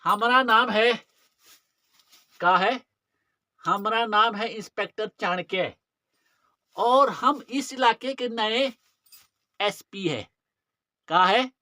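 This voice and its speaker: A man's voice: sits high, takes it slowly, pauses often, pushed and bright, no room sound, studio quality, heavily acted. A man playing a menacing, cocky police inspector in a dramatic monologue, with a rustic eastern Hindi-belt accent, mocking and drawling, throwing out rhetorical questions. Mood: mocking, boastful.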